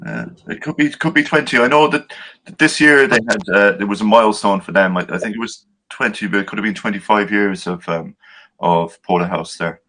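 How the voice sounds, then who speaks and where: A man's voice: lowish voice, a little fast, sharp tone, slight background noise. A man, a guest brewer, chatting in an easygoing way on a video call. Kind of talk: casual video call chat.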